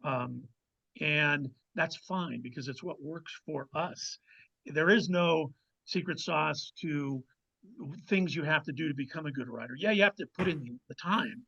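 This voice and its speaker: Gravelly, bright-voiced man with muffled sound. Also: on the high side, measured pace. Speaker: author, podcast guest. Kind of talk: casual interview talk, giving writing advice.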